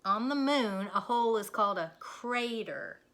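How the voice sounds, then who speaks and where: Storybook cow, female, played by a woman: bossy know-it-all, emphatic, excitable, high swooping delivery. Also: unhurried. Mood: insistent lecturing. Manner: emphatic, lecturing, exclaiming. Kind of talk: children's storybook character voice.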